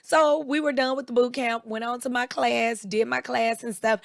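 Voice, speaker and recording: A woman with a raspy, slightly hoarse voice, chatting casually as co-host and acting out the people in her stories. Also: fairly high pitch, normal speed, no echo, studio quality. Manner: animated storyteller, quotes people in raised voices. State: recovering voice, a bit hoarse. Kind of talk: casual chat.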